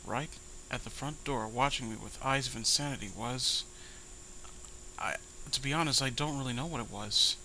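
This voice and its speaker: A man's voice: mid-range voice, moderate pace, pushed and bright, a little hiss or hum, low-quality recording. A man reading a horror story aloud with dramatic delivery and sarcastic commentary. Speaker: host reading amateur horror stories.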